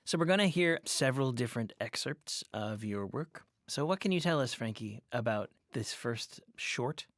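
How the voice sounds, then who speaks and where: A man hosts a conversational interview in a studio. His voice is mid-pitched and his manner is measured and reflective, picking words with care. The sound is dry and close. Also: expressive, fairly bright tone, dry recording. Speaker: podcast interview host. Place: studio, in person.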